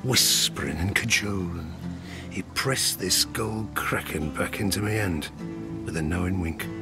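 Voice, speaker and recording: A man playing a ruined sailor and gambler, telling his rueful tale for an audio drama. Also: deep voice. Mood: haunted, rueful. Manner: slow, rueful storytelling with colloquial dialect. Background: music.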